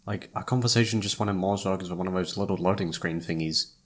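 A man's voice: low voice, fast. A man acting as an informal, emotive young best friend who is secretly in love, in a one-sided roleplay audio drama. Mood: sheepish, deflated. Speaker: voice actor.

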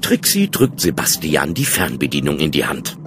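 A man's voice: rumbling low, big pitch swings, moderate pace, music in the background. A man narrating a children's audio drama as its storyteller.

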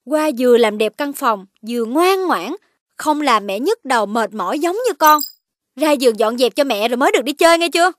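Scolding cartoon mother, high voice, swooping intonation, exasperated, faint noise. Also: lively intonation, brisk. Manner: nagging, exasperated. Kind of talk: children's cartoon voice acting.

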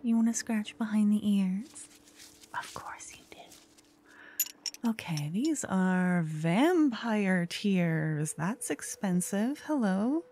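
A woman voicing a jealous, doting young witch girlfriend in an intimate ASMR roleplay, breathy and often whispering, with dramatic delivery. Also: medium pitch, lively intonation, slow pace, dark tone. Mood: sweet, distracted. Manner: dramatic, swinging from cooing to venting. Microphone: close ASMR microphone.

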